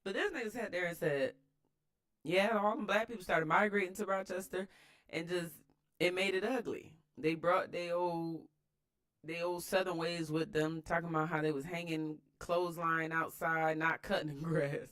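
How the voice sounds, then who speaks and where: A man's voice: fairly high pitch, fairly even intonation, moderate pace, fairly bright tone. An American man giving casual, opinionated commentary as host of a read-aloud, emphatic and slangy, repeating phrases for emphasis.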